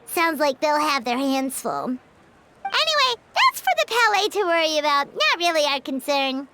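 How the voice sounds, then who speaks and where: Squeaky, high-pitched little fairy companion. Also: female voice, big pitch swings, moderate pace, noisy background. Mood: chatty. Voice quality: squeaky, childlike. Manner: bubbly, chatty, exclamatory. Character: tiny cheerful female fairy companion, childlike and excitable. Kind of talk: video game voice acting.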